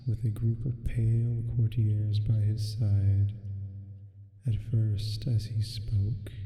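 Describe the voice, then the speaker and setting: Casting: male performer as ominous bedside demon for ASMR horror reading; very dark, low-pitched, solemn delivery, drenched in echo. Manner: slow, solemn, measured, hushed delivery. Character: sleep paralysis demon, slow, ominous storyteller at bedside. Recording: echoing long reverb, music underneath. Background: music.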